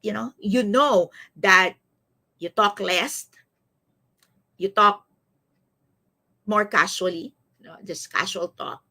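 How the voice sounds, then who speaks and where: A woman's voice: mid-range voice, slow pace, pauses often, bright, forceful tone. A woman in her fifties with a Filipino accent, giving a motivational live-streamed coaching talk, repeating phrases and often checking in with her listeners. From Philippines. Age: 50s. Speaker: life coach, host of a live series.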